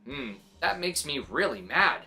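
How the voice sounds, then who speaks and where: A woman's voice: low-pitched, big pitch swings, unhurried, sharp tone, exaggerated voice. A woman voicing a stubborn, eager young boy in a storybook, emphatic and protesting. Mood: indignant.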